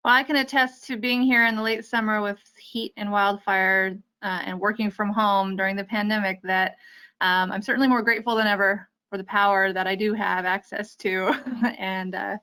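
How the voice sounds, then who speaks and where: Bright-voiced woman interviewer. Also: medium pitch, little pitch movement, normal speed, smooth. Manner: friendly questions with fillers. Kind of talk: interview host asking questions.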